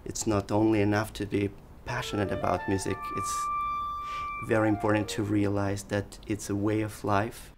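A middle-aged man whose first language is Russian, a clarinet professor speaking thoughtfully and measuredly in an interview, with pauses and restarts. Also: on the low side, completely flat delivery. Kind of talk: reflective interview. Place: living room with daylight window.

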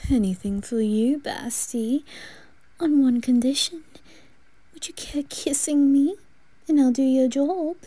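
Woman doing flamboyant flirty grim reaper guy, high voice, drawn out lovestruck swooning, anime roleplay. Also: takes it slowly, long pauses, mellow, dry recording. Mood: flirty, dreamy. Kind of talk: ASMR anime fan roleplay.

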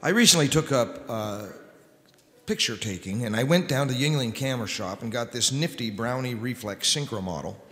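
Middle-aged male host, medium pitch, old-time radio sponsor reads, big echoing hall. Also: animated, moderate pace, a bit bright. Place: stage in a wood-furnished hall. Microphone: microphone on a stand. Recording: reverberant hall.

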